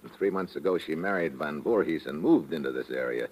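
Hard-boiled American agent. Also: male voice, rumbling low, lively intonation, brisk, a little mellow, rough recording. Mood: wry. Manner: clipped, hard-boiled narration. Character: tough American special agent, blunt and wry. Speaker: narrator and lead. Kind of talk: 1950s radio drama acting.

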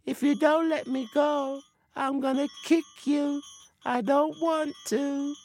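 Folk-tale forest spirit: tiny high voice, sweet yet pestering, pleading in drawn-out repeats. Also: flat delivery, a little mellow, a little hiss or hum. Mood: pleading threat. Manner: pleading, repetitive, drawn-out calls.

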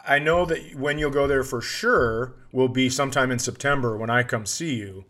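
American man, mid-pitched, casual comic banter, mock-serious riffs, podcast co-host. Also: normal speed, a bit bright.

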